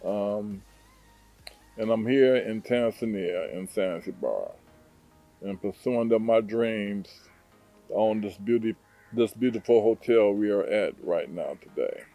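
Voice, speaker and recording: Earnest man, mellow voice. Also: on the low side, faint music behind. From Detroit, USA. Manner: earnest, unhurried, with frequent fillers. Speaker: hotel owner. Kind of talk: interview answers. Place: hotel in Zanzibar.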